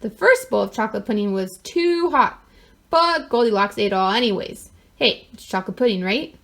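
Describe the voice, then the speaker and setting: A woman's voice: medium pitch, expressive, normal speed, a bit bright. A woman storyteller reading a children's picture book aloud expressively, with wry, mock-innocent asides.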